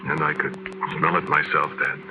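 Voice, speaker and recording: An actor playing a shaken, haunted man who confides a ghostly encounter in halting, emphatic phrases, in the style of old-time radio horror. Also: rumbling low, level tone, sharp tone, slight background noise, heavily acted, low-quality recording. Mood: brooding. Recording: vintage radio recording.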